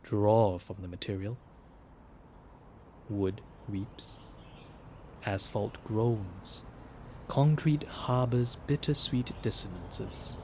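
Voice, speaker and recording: Solemn male guide for audio drama, really slow n low, hushed, poetic, telling you what to do. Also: a little hiss or hum. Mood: hushed, contemplative. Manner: deliberate, hushed, poetic, instructing. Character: solemn male guide instructing an archival recorder in ruins. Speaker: narrator guide. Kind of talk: scripted audio drama narration.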